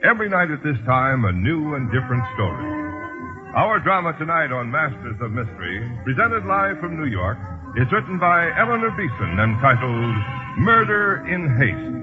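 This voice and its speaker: Animated American radio mystery host, tinny sound. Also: male voice, a little high, normal speed. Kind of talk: dramatic 1950s radio announcing. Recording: tinny old radio broadcast, music behind.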